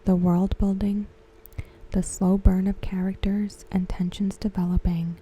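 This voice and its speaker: A woman giving ASMR commentary in a hushed, gentle, breathy, whispery voice. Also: medium pitch, flat delivery. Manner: hushed, gentle, unhurried delivery. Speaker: ASMR content creator reviewing a TV episode. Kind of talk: ASMR soft-spoken commentary.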